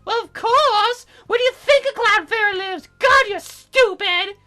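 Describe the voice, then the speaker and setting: A man voicing a bossy, bratty young fairy girl in a squeaky falsetto for a visual novel game. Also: unhurried, pushed and bright, soft background music, heavily acted. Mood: excited, huffy. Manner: petulant, demanding.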